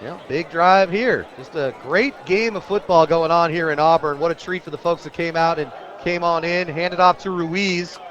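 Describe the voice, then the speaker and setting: An American man calling live high school football as a radio play-by-play announcer, getting excitable on big plays and rooting for the home team. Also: moderate pace, few pauses, lo-fi sound.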